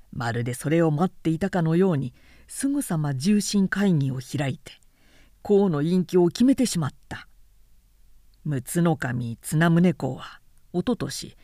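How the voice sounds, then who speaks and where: A woman voicing an outspoken, indignant samurai man in a period-novel audiobook, forceful and insistent in formal speech. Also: on the low side, no echo, studio quality. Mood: indignant, accusing.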